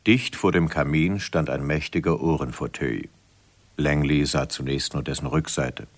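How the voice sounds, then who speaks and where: A man narrating a 1970s radio play in a measured, literary storytelling manner. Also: a bass voice, fairly even intonation.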